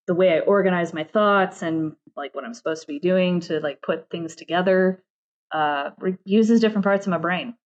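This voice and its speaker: A woman's voice: on the low side, a little mellow, highs cut off. A woman talking casually as an interview guest about her creative work, often slipping into quoted asides. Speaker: interview guest, game narrative director. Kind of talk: casual chat.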